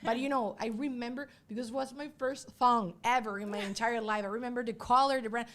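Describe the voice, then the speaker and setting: A woman's voice: a little high, steady tone, brisk, fairly bright tone, a little hiss or hum. Woman host with a Spanish accent, native Spanish speaker; chatty, giggly, rambling livestream interviewer. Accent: Venezuelan Spanish.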